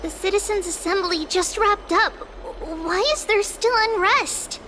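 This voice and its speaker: A woman's voice: very high-pitched, unhurried, a little hiss or hum, heavily acted. A woman voicing a small, childlike ancient oracle in a fantasy video game, speaking with solemn, storybook wistfulness. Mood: questioning. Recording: loud game music behind.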